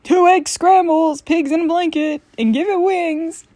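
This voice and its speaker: A woman voicing a brassy, unflappable diner waitress with loud, folksy call-outs, in a comic storybook. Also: very high voice, fairly even intonation, unhurried, no room sound, pitched above the performer's own voice. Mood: brisk shouting.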